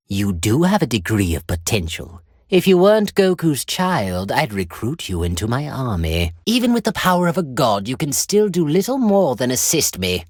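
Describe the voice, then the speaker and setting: Imperious alien overlord villain for a fighting game: high, wildly swooping voice, cruel beneath mock courtesy, theatrical and haughty. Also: male voice, no echo, studio quality. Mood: disdainful. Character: imperious male alien galactic tyrant, cruel, mockingly polite villain. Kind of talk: theatrical video game voice acting.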